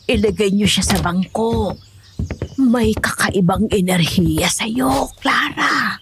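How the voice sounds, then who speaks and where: A woman's voice: medium pitch, takes it slowly, noisy background. A woman playing a mysterious, solemn and assured village folk healer in a horror audio drama. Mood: grave, assured.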